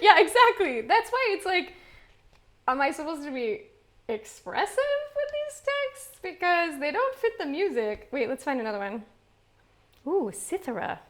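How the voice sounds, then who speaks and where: A young woman, a classical singer, chatting casually and laughing at herself while practicing on a stream. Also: high-pitched. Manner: chatty, self-mocking, laughs often.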